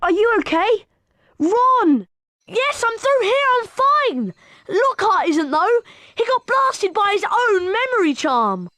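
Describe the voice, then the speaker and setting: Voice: very high-pitched, sing-song, slow pace, bright, forceful tone, no room sound, heavily acted. A performer voicing an earnest, plucky schoolboy wizard in a video game, with a British accent. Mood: worried, determined.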